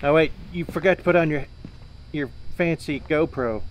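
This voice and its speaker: A man's voice: on the high side, moderate pace, rough recording. A man chatting casually and jokingly while playing a horror game, swearing now and then. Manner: joking, swearing, playfully chanting. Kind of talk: casual gaming chat and commentary. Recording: clear noise behind the voice.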